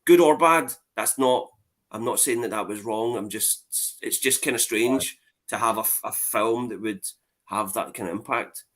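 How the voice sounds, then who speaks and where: A man's voice: on the low side, normal speed, fairly bright tone. A man giving his opinions emphatically in a casual film chat, swearing freely. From Scotland.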